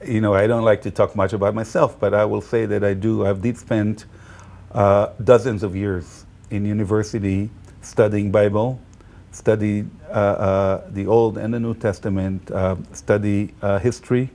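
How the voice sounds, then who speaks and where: A man from Israel with an Israeli accent and Hebrew as his first language, talking as a guest and tour guide in a halting way, full of fillers and restarts. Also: lowish voice, little pitch movement, gravelly, mellow. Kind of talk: casual conversation.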